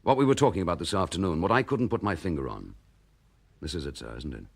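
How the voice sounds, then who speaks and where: A man's voice: deep voice, very animated, fast, gravelly, breathy edge, full character voice. An actor playing a determined, earnest detective chief inspector who presses his case bluntly. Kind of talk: 1960s radio drama acting.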